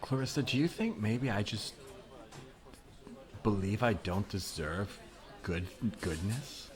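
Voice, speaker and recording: Man playing a low-voiced, self-absorbed flirty woman. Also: expressive, slow pace. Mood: earnest, overwhelmed. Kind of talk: improvised comedy dialogue. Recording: noisy remote recording.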